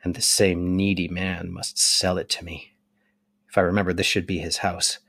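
A man playing an anguished, resolute young nobleman in a dramatic reading of a stage tragedy, declaiming deliberately. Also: sits low, flat delivery, creaky, pushed and bright, no room sound, clean studio sound, full character voice. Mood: brooding.

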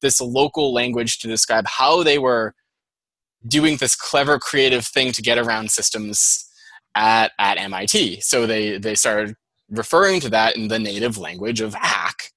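A man's voice: fairly low pitch, pushed and bright, dry recording, poor audio. A man with a Boston accent, a guest on an interview show, explaining things in a chatty, rambling way full of "like"s. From Boston, USA. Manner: chatty, fast-flowing explanations with many "like"s. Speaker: guest, data scientist. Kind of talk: casual interview chat.

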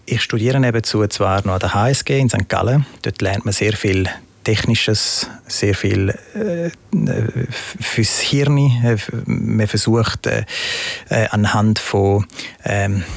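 A young man with a Swiss German accent answers interview questions in a relaxed, casual way. His low voice has a gravelly edge, and he often stops on fillers and starts sentences over. The sound is dry and close to the mic. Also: dry recording. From Switzerland. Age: young adult. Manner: hesitant, many fillers and restarts. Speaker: entrepreneur guest.

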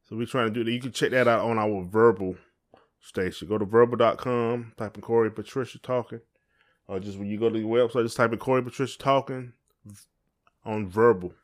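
A man's voice: medium pitch, fairly even intonation, a little fast. A man with a Southern American accent and a middle-range voice. He co-hosts a casual podcast and talks in a folksy, playful way, rambling as he goes. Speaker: podcast co-host. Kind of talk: casual chat.

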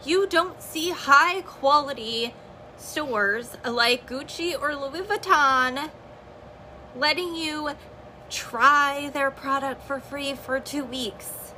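A woman with a high, bright voice and a slight hoarseness talks straight at her listeners. She delivers business advice as a host, emphatic and repetitive, driving each point home. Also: slow, a little hiss or hum, low-quality recording. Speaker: podcast host and network marketer. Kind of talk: motivational business talk on a live stream.

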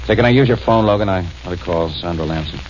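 Guy doing a hotheaded press photographer, lowish voice, old radio sound with a little hiss. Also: lightly acted. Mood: earnest. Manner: brash, quick to anger. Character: hot-tempered man, crime photographer. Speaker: news photographer. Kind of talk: 1940s radio acting. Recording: dull old radio broadcast with faint hiss.

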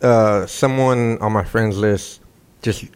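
A man's voice: lowish voice, fairly even intonation, slow, clear, resonant tone. A man co-hosting a casual podcast, laid-back and laughing often as he tells stories. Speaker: podcast co-host. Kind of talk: casual chat.

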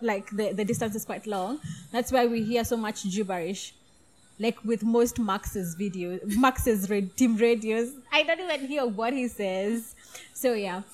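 A woman co-hosting a casual podcast chat, talking in a giggly, animated way. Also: mid-range voice, poor audio. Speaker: podcast co-host.

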